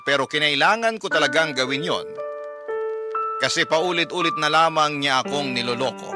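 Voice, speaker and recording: A man, a radio host, reading a listener's love story as dramatic first-person narration. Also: on the high side. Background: prominent background music.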